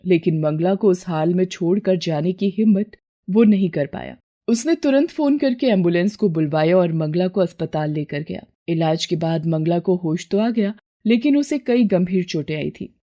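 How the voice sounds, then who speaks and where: A woman narrating a scripted cartoon moral story in a steady, measured way. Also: fairly low pitch.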